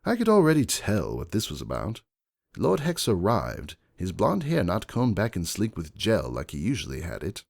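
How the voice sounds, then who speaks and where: A man plays a shrewd aristocratic unicorn stallion narrating a dramatic fantasy story. The voice is very low with a gravelly creak, measured and refined in manner, with highly animated intonation. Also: exaggerated voice. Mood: composed, calculating. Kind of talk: first-person dramatic fan fiction narration.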